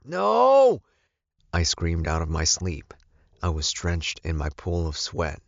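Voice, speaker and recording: A man's voice: a bass voice, little pitch movement, measured pace, no echo, highs cut off. A man reading scripted first-person fiction as an audiobook narrator.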